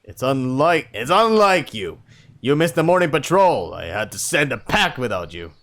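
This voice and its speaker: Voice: mid-range voice, sing-song, normal speed, a bit bright. A performer voicing a stern but caring old male wolf chief and father in a story, with a commanding manner and an attempted Scottish accent. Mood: stern, impatient. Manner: commanding, blustering. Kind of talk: amateur character voice in story read-aloud.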